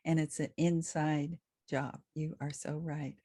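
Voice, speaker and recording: A woman's voice: low-pitched, level tone, unhurried, dark tone, breathy edge, no room sound, clean studio sound. A woman hosting a conversational podcast interview in an affirming, reflective way. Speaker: podcast host and psychologist.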